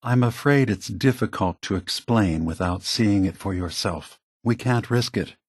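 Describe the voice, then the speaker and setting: A man voicing an ancient, gentle, weary android leader in a video game, measured, halting and earnest, with a soft, husky delivery. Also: fairly low pitch, dry recording, clean studio sound. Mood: grave, explanatory. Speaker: leader of a synth refuge. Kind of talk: video game character dialogue.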